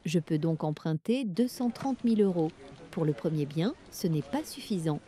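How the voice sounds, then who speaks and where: A woman reading everyday French dialogues for learners, with clear, careful scripted delivery. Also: lowish voice, animated, normal speed, mellow, lightly acted.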